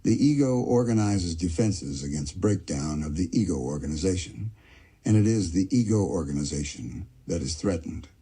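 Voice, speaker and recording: A man with a very deep, dark voice reads a scholarly essay aloud in a slow, measured way. His tone is heavily husky and breathy, and he pauses to mark each section heading. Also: lively intonation. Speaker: reader of an essay. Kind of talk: audiobook-style reading of a psychoanalytic essay.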